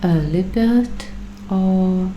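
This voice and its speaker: A woman playing a paranoid waitress turned doomsday prepper in an ASMR roleplay, speaking in a hushed, deliberate, earnest way with long pauses. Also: mid-range voice, dull audio. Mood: probing, approving. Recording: clearly audible background noise.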